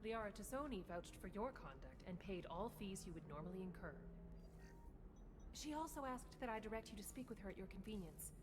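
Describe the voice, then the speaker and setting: An actress playing a courteous, formal port concierge in a video game, polished and deferential. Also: female voice, medium pitch, lively intonation, brisk, lightly acted. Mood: businesslike. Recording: long reverb, noisy hall ambience.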